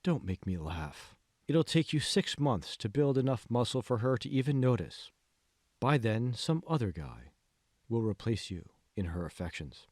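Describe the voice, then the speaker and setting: A man playing a calm, manipulative male mentor in an audiobook, speaking slowly and deliberately with hushed, condescending questions. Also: mid-range voice, no echo, studio quality. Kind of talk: dramatic audiobook dialogue.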